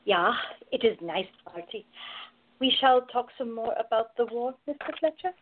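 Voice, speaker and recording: An actress playing a playful, bold woman who teases deadpan in simple broken English in a radio play. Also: on the high side, normal speed, narrow, tinny audio. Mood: mischievous. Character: teasing foreign woman in costume, playful, bold, quick-witted.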